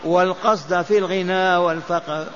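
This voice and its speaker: A man's voice: sits high, steady tone, moderate pace, a little hiss or hum. An older man giving a mosque lesson on hadith, chanting and repeating texts so listeners memorize them. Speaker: mosque teacher. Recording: dull lo-fi lecture recording.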